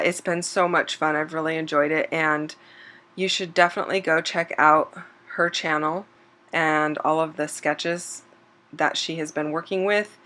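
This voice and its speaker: A slightly hoarse woman hosting a scrapbooking channel, chatting casually over a craft process video with plenty of ums and self-corrections. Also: low-pitched. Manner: relaxed and chatty, with frequent um fillers and self-corrections. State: slightly hoarse, losing her voice.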